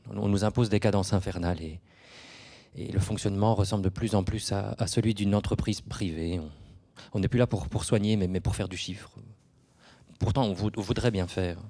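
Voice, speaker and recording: An actor playing a shy, earnest, fed-up male nurse in a radio drama, halting and stuttering. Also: fairly low pitch, mellow. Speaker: nurse in a support group. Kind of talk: naturalistic radio drama acting.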